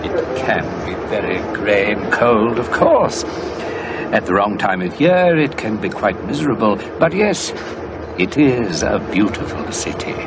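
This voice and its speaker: Actor as a low-voiced old-fashioned charmer. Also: male voice, very animated, unhurried, noisy background. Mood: charming, flirtatious. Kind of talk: radio play acting.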